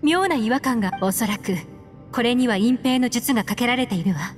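Woman doing cool confident lady agent, smooth assured talk, higher voice, game music behind. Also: fairly quick, lightly acted. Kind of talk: game voice acting. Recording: roomy game audio with music.

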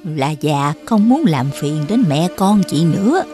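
A woman voicing a weary, grateful old beggar woman with a Southern Vietnamese accent in an animated fairy tale. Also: sits low, unhurried, faint music behind. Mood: reluctant, gentle. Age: older.

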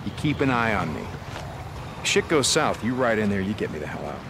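An actor playing a gruff, guarded outlaw biker turned militia corporal in a video game, with an American accent. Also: male voice, fairly low pitch, big pitch swings, a little fast, noisy background. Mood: determined. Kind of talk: video game cutscene acting. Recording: game cutscene with music behind.

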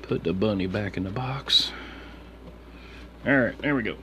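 A man's voice: lowish voice, unhurried. A man streaming about his card hobby, talking in a casual, slangy, laid-back mutter as he names items one by one. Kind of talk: casual chat while sorting through cards. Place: indoors at a desk with a computer. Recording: steady background room noise.

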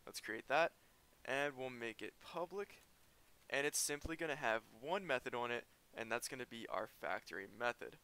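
Male coding tutor, clear bright voice, walks through code as he types. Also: mid-range voice, normal speed. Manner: explains step by step while typing. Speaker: programming tutor. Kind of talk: screen-recorded coding tutorial.

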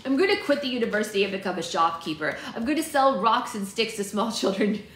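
A woman's voice: mid-range voice, sing-song, fast, lightly acted. Woman as anxious young man, grumbling, dramatic, hesitant, storybook character, roomy recording with slight noise. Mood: despairing. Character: nervous, despairing young man, whiny student brother. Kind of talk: character voice in story read-aloud. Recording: echoey room, faint background noise.

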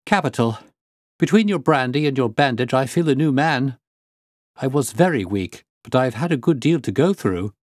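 A man's voice: mid-range voice, expressive, moderate pace, dry recording, studio quality, voice pushed up, natural voice. A man voicing an agitated, earnest engineer in his twenties for an audiobook story. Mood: relieved, earnest. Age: 20s. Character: agitated young engineer, injured, hearty and earnest. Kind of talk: audiobook character voice.

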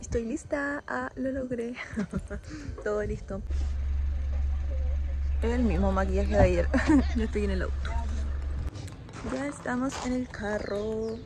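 A woman's voice: on the high side, slow, pauses often, noisy background. A young woman, chatting casually through her daily chores at home while holding her phone at arm's length. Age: 20s. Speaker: vlogger. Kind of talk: casual daily vlog narration. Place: small home indoors. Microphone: phone held at arm's length.

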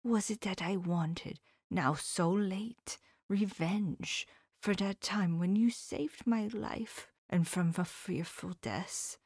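A woman voicing an elderly male professor in an audiobook, speaking in a slow, solemn, often whispered voice with formal, old-fashioned phrasing. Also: medium pitch, a little mellow, husky, no room sound, clean studio sound, voice pushed up. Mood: grave. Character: elderly male professor, grave, tender and sorrowful. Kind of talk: audiobook character voice.

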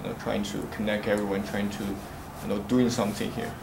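A teenage boy with little pitch movement, answering at a school meeting in an echoey room. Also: level tone, fairly quick, gravelly, background noise. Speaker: student council member. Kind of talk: informal meeting talk. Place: school classroom, indoors.

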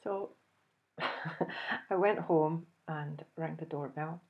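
Scottish lady in her 50s chatting to camera, lowish voice, chuckly storyteller, bit lo-fi sound. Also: slow pace. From Scotland. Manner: animated, chuckles often, acts out dialogue. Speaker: host. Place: indoors, small room, plain backdrop.

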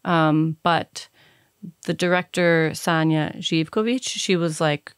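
A woman's voice: low voice, steady tone, slow, smooth, no echo. A woman in her thirties, a native Serbian speaker, talking casually and thoughtfully as an interview guest, with many fillers and restarts into a studio mic. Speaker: cinematographer guest. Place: dark podcast studio. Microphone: studio mic on boom arm, headphones.

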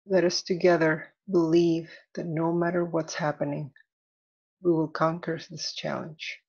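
A woman leading a guided meditation as an instructor, soothing and deliberate, in measured phrases with long pauses. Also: low-pitched, flat delivery, a little mellow, slightly rough, muffled recording.

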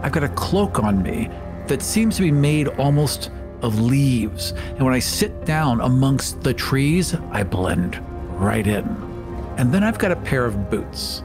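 A man's voice: mid-range voice, big pitch swings, unhurried. A man playing a tabletop role-playing game, speaking as a player about his character. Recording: music bed under the voice. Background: background music.

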